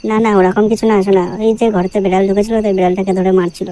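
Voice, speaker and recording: A woman playing a crude, lovesick, hot-tempered young son in a comic cartoon, ranting quickly. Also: mid-range voice, little pitch movement, warm tone, lightly acted. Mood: sweet-talking. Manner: fast, ranting, crude swearing.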